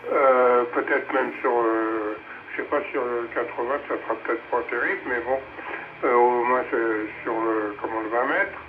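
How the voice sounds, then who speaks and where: A man's voice: medium pitch, moderate pace. Older man on a tinny radio link. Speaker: amateur radio operator. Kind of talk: casual radio chat. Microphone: two-way radio transmission. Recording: tinny, noisy VHF radio relay.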